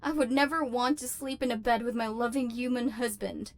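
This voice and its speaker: Robot overlord played by a woman: female, fairly high voice, stiffly formal, embittered yet secretly tender, for ASMR roleplay. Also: fairly even intonation, fairly quick, natural voice. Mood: flustered, defiant. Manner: formal, precise, stilted diction with wounded feeling. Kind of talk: scripted ASMR roleplay acting.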